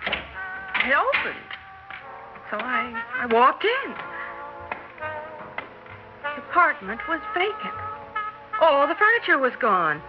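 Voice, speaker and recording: High-voiced, determined would-be star. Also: female voice, exaggerated voice. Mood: dismayed. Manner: dramatic, insistent storytelling. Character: determined, excitable young would-be actress, a woman. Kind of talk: 1960s radio drama acting. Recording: dull old radio broadcast, music behind.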